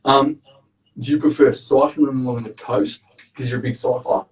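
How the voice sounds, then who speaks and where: A man hosting a casual live-stream interview, with a big, loud, chatty voice. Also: mid-range voice, steady tone, moderate pace. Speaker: host. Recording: echoey room, distant mic.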